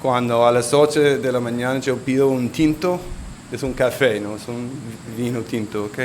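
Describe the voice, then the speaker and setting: A man with a Colombian Spanish accent presenting at a conference panel, halting and searching for words. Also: mid-range voice, fairly even intonation, slight background noise.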